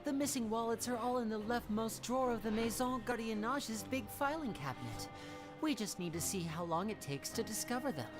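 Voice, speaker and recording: A woman voicing a suave, playful teenage boy magician in a video game, speaking smoothly and theatrically. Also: fairly high pitch, animated, fairly quick, close to an everyday voice. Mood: breezy. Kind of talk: video game character acting. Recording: game music behind the voice.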